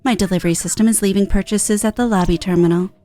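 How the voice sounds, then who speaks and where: A woman's voice: medium pitch, fairly even intonation, fast, mellow, dry recording, voice pushed down, lightly acted. A woman voicing an odd, formal shopkeeper creature from a video game, speaking in a stilted way. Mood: courteous.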